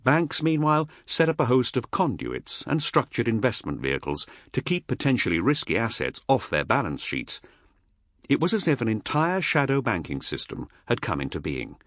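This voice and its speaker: A man reading a nonfiction audiobook as a scripted narration, with emphatic, measured and rhetorical phrasing, close to the microphone. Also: mid-range voice, huge pitch swings, a bit bright, no echo. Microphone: close studio microphone.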